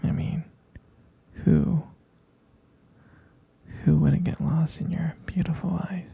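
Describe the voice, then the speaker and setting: Guy acting as soft doting boyfriend for asmr, really husky and whispery, dark mellow tone. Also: on the high side, fairly even intonation, no room sound, lo-fi sound, full character voice. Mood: adoring. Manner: slow, doting, lingering over words. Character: tender, devoted boyfriend comforting his pregnant partner. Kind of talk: boyfriend ASMR roleplay, intimate and soothing.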